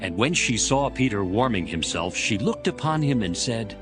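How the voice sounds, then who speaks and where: A man's voice: lowish voice, animated, moderate pace, over music. A man narrating dramatized scripture as a storyteller between the character parts.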